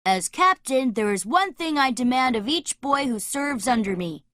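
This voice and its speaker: A high, bright boy's voice, recorded close and dry. He is a confident young adventurer in a children's cartoon story, dramatic and used to giving orders. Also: lively intonation, moderate pace, dry recording. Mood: commanding. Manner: commanding, dramatic. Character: bold, confident boy adventurer, leader of children. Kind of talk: animated children's story dialogue.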